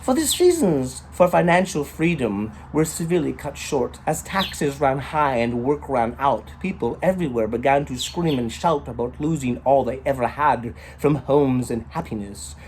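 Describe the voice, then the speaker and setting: A man reading a short story as its storyteller, in a theatrical, rhythmic manner with drawn-out emphasis and rhyming phrases. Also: mid-range voice, lively intonation, a little mellow, slight background noise. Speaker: storyteller, author reading own work.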